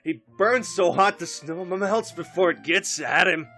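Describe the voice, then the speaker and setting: A man's voice: high voice, a little slow, sharp tone, soft background music. A man playing a boastful, dim, muscle-proud warrior with cocky, slangy bluster in a fan audio drama. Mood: cocky boasting.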